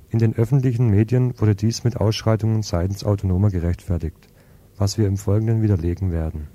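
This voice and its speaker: Male news reader, lowish register, very mellow timbre, scripted report delivery. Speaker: news presenter.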